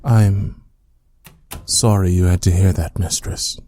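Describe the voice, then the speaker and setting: A man playing an obsessive, devoted butler in an ASMR roleplay, speaking formally and intimately in a soft, breathy, dark voice. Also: deep voice, fairly even intonation, slow pace, no room sound. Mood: reverent sorrow. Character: devoted, obsessive yandere butler, tenderly protective of mistress. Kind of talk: ASMR audio roleplay acting.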